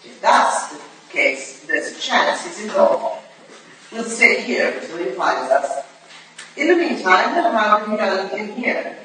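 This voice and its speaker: A woman's voice: mid-range voice, animated, a little slow, close to an everyday voice. A woman playing a shrewd, formal older female detective in a staged reading of a Victorian drama, with a clipped, imperious manner. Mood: coolly analytical. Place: live staged reading in a roomy indoor venue. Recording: echoey hall from far off, noisy.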